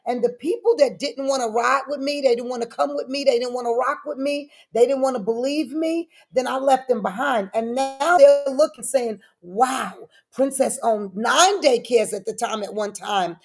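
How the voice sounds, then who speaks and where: A middle-aged woman with a fairly high voice answers as an interview guest. She talks in an animated, personal way and leans on repeated phrases for emphasis. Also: moderate pace, hardly any pauses. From born in Frankfurt, Germany; lived in New York City. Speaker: guest, daycare business owner.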